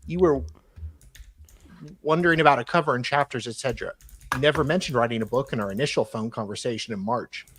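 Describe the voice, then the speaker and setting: A man's voice: mid-range voice, fast, fairly bright tone, a little hiss or hum. A man hosting a live show, reading emails aloud in a dramatic style and breaking off for casual, mocking asides.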